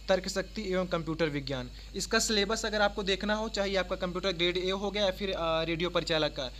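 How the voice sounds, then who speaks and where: A man's voice: sits high, a bit bright. A man from giving an informal, instructional explainer as an online exam-prep presenter, restating points and adding little check-in tags. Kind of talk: informal explainer of job notices. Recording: faint background noise.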